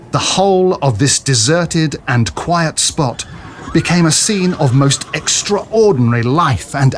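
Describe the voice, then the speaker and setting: A man's voice: a little high, slight background noise. A man narrating a story dramatically from a script, as in an audio drama.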